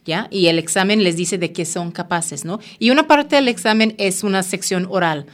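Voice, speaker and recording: Jamaican woman explaining with steady encouragement. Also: mid-range voice, normal speed. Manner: encouraging, explanatory, frequent tag questions. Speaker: language school representative. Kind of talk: conversational interview.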